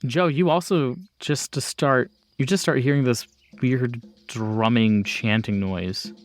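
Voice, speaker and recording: A man acting as game master, improvising horror narration in a conversational way with pauses and bursts. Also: mid-range voice.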